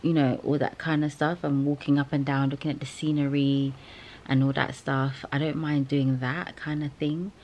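A woman in her thirties chats to her phone camera from a hotel bed, easygoing and a little giggly. Her voice sits very low with a gravelly, creaky texture. Faint room hiss sits behind her. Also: moderate pace. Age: 30s. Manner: relaxed, chatty, laughs often. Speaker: travel vlogger. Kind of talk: casual chat to camera. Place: hotel bedroom, lying in bed. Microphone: phone camera held close.

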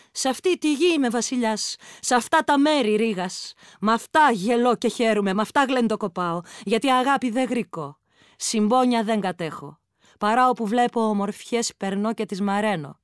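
A woman's voice: on the high side, smooth, dry recording, clean studio sound, natural voice. A woman voicing Death as a proud, mournful underworld king, in grave, incantatory radio-drama verse.

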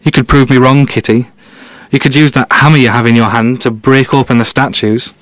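A man playing a confident, quick-witted amateur detective in an audio drama, explaining his deductions in a teasing, conversational way. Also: medium pitch, steady tone, a bit bright, no echo, lightly acted. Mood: teasing, reasoning. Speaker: podcast guest detective.

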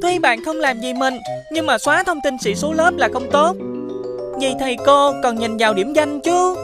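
High-voiced, stubborn cartoon schoolchild. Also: female voice, lively intonation, normal speed. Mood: self-righteous. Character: stubborn young schoolchild called Kem, quick to tattle. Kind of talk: children's cartoon dubbing. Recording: music behind the voice.

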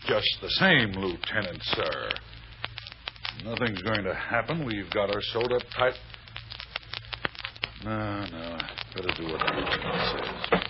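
A man's voice: fairly low pitch, lively intonation, measured pace, pauses often. An American man acting in an old radio drama as a dogged police sergeant, speaking in clipped tough-cop slang and deferring to his superior. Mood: resigned, grudging. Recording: dull, noisy vintage radio recording.

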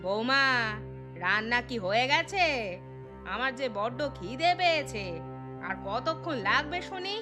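A woman's voice: high voice, lively intonation, a little slow, long pauses, over music. A woman voicing a gluttonous, self-pitying elderly mother-in-law in a cartoon story, with a demanding, whining manner. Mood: hungry, demanding.

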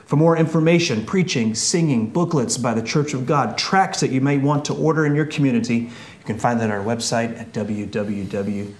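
A middle-aged man co-hosting a church program, measured and formal in phrasing. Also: mid-range voice, fairly even intonation. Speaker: minister co-hosting and closing the program. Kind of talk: church discussion and program outro. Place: small indoor studio with a wall screen. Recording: roomy studio echo.

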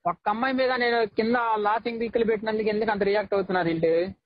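Young guy w high voice, slangy bro talk, dry muffled call recording. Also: steady tone, racing, dry recording. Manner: informal, slangy, says "bro" often. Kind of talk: casual phone conversation.

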